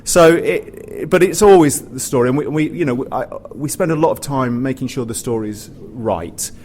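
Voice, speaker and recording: A man's voice: mid-range voice, moderate pace, darkish tone. Rambling man on a noisy panel recording. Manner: rambling, repeats and restarts phrases, often says "you know". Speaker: pantomime writer, performer and director on a discussion panel. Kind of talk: unscripted panel discussion. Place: theatre, live panel after a show. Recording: noisy live room recording.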